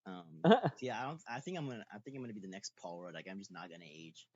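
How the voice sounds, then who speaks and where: A man's voice: on the low side, quick pace, a little mellow, breathy edge, no echo. An eighteen-year-old man co-hosting a podcast, talking eagerly with many stumbling restarts. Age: late teens. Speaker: podcast co-host. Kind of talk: casual chat. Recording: dull lo-fi podcast audio, dry.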